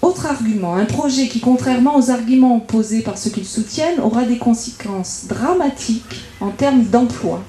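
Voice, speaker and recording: A woman's voice: a little high, normal speed, hardly any pauses, dark tone. A woman with a French accent from France delivers a prepared political speech at a public debate, methodically arguing point by point as a local campaigner. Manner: argumentative, methodical, enumerating points. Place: indoor meeting room at public debate. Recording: echoey room at public meeting.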